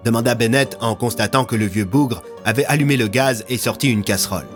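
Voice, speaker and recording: A man's voice: mid-range voice, brisk. A man narrating scripted, dramatic audio fiction as a storyteller, with music underneath.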